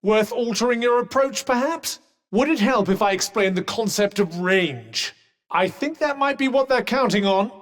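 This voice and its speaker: A sardonic enchanted cuff for a video game: middle-aged, refined British male, fairly high voice, dry and pompous, drenched in long reverb. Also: animated, moderate pace. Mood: brisk advice. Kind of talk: game companion combat barks.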